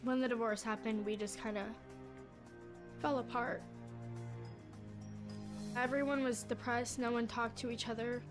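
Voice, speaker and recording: A woman's voice: a little high, flat delivery, a little slow, pauses often. Young woman, subdued testimonial, music bed underneath. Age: young adult. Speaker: interviewee in a taped segment.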